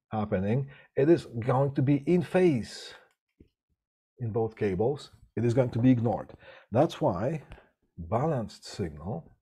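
Male instructor, middle-aged, low-ish husky tone, halting live-class delivery with frequent "right?" check-ins. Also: mellow. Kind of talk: live online lecture on audio systems.

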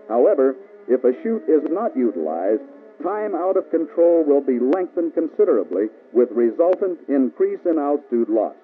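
American male narrator, scripted military instruction, measured and precise, thin band-limited old film sound, music behind. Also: medium pitch, narrow, tinny audio.

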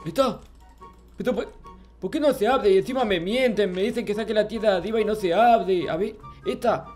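A man's voice: sits high, fairly quick, darkish tone, soft background music, natural voice. A man voicing a childish, clueless, grumpy little game character, stammering and repeating himself in a Minecraft roleplay. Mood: puzzled, complaining. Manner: babyish, stammering, repetitive, easily flustered. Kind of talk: improvised Minecraft roleplay narration.

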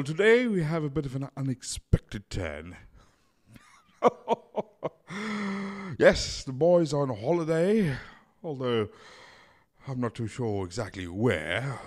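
A man hosting a comedy show in a theatrical, mock-formal way. His pitch swings widely while he keeps an unhurried pace. He speaks into a desk mic in a small home studio. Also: very animated, a little mellow. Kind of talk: hammy scripted comedy hosting. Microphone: desk mic on boom arm.

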